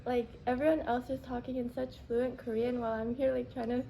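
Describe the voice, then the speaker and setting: A woman's voice: a little high, little pitch movement, fairly quick, vocal fry, mellow, slight background noise, poor audio. A woman giving casual interview answers, using frequent "like" fillers.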